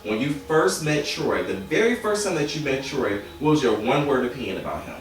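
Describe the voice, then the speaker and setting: A man's voice: medium pitch, very animated, a little fast. A man in his forties casually hosting a group discussion, picked up by a distant camera microphone. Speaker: show host. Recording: very echoey room, distant mic, faint music.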